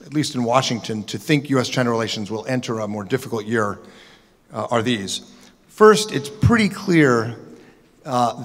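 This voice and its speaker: A middle-aged man speaking on a conference panel, talking in a measured, analytical way with frequent ums and uhs into a table microphone. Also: medium pitch. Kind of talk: policy forum talk. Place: large conference hall. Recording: conference hall reverb.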